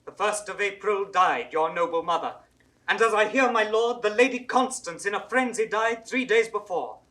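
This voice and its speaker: A messenger bringing grim news in a 1960s Shakespeare audio play. His high, bright voice is formal and urgent, heard at some distance from the mic. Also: moderate pace. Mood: solemn, deferential. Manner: formal, urgent theatrical delivery. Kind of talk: 1960s classical Shakespeare audio drama acting. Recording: distant from the microphone.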